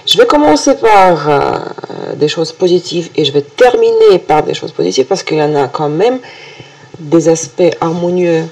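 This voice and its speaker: A woman with a Russian accent, a native Russian speaker, presenting a weekly horoscope video in a halting way full of hesitations and restarts. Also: deep voice, animated, a little mellow. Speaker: astrologer.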